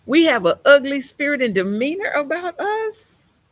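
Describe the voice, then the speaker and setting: A woman's voice: high voice, very animated, moderate pace, dry recording. A woman preaching a sermon in an emphatic, conversational way, with folksy turns of phrase.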